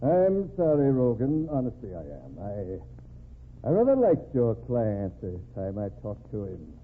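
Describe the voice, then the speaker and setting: An actor playing a pompous, defensive state governor in an old-time radio drama, given to speechifying. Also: male voice, medium pitch, wildly swooping pitch, a little slow, slightly rough, exaggerated voice. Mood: regretful. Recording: narrow, tinny vintage radio recording.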